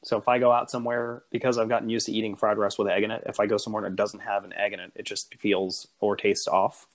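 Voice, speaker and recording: A man's voice: lowish voice, level tone, fast. A man co-hosting a podcast, chatting casually in an enthusiastic, rambling way that often restarts and corrects itself. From United States. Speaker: podcast co-host. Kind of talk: casual chat.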